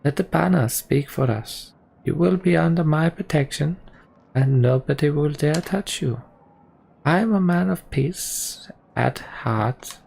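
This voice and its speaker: A man voicing a scheming, menacing imprisoned manipulator in a video game, deliberate and taunting as he makes his speeches. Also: fairly high pitch, creaky, darkish tone, slightly rough, full character voice. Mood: smug persuasion. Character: scheming, menacing male prisoner and political manipulator. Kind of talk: dramatic reading of RPG dialogue.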